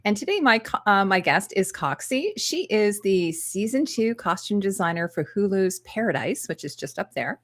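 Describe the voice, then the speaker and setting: A woman's voice: medium pitch, very animated, moderate pace, hardly any pauses. A woman hosting a channel interview over a video call, upbeat and enthusiastic, with chatty asides to viewers. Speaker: interview host.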